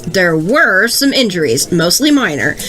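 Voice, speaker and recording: A woman's voice: on the low side, sing-song, unhurried, a bit bright, faint music behind. A woman playing an earnest, exasperated radio news co-anchor who delivers satirical reports in a serious, pointed way. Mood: stern, precise.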